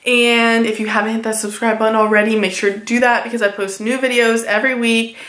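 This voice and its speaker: A woman in her late teens talking casually in a haul vlog, filling her speech with "like" and sounding a little hoarse from a cold. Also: fairly high pitch, little pitch movement, quick pace, a bit bright, echoey room. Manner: chatty, many "like" fillers, upbeat about purchases. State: recovering from a cold, somewhat hoarse. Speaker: vlogger.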